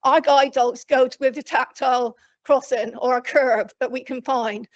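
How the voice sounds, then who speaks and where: A woman from Cornwall speaking loudly and plainly from memory to a council committee, rambling with frequent restarts. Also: high voice, steady tone, moderate pace. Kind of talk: unscripted address from memory. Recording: dull lo-fi council meeting recording.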